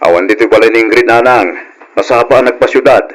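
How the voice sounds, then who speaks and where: A man's voice: medium pitch, fast talker, a little hiss or hum, lightly acted, rough recording. Guy playing easygoing husband in an old radio drama, family man, dutiful son type. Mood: explaining, deferential. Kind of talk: old-style radio drama acting in Ilocano.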